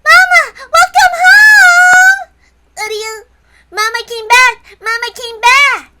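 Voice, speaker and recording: A woman voicing a little girl with a childlike manner, by turns serene and excitable, in a story reading. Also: very high voice, slow pace, shouting, voice pushed up, heavily acted. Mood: gleeful, excited. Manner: childlike, repeats herself in excitement.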